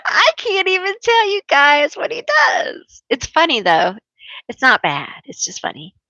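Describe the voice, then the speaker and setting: A woman reading tarot on a relaxed live stream, with a bright, forceful voice and an unhurried pace. She chats loosely, laughs a lot and pokes fun at herself. The sound is dry and a little dull, like lo-fi stream audio. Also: high voice, no echo. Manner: chatty, laughs often, self-teasing; calls herself loud, a yeller. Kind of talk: casual live-stream chat.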